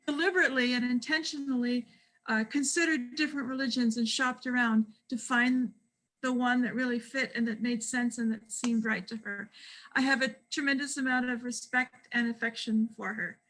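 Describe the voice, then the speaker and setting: Halting, bright, high-voiced woman. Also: low-quality recording. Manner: halting, many fillers. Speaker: forum host. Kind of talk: hesitant introduction.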